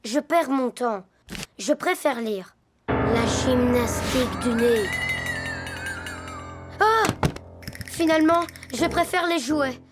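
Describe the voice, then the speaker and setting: A woman's voice: high-pitched, animated, takes it slowly, long pauses. A woman voicing a young boy animal inventor in a children's cartoon, earnest, plaintive and unsure of himself. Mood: dejected. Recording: music behind the voice.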